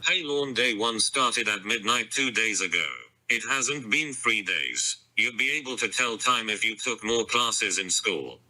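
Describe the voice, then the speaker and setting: Synthetic robotic male voice, taunting, sharp tone, lowish pitch. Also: measured pace, full character voice, low-quality recording. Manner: flat insults, mocking. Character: insulting male robotic phone voice. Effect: text-to-speech voice. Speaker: sting caller persona on a phone call.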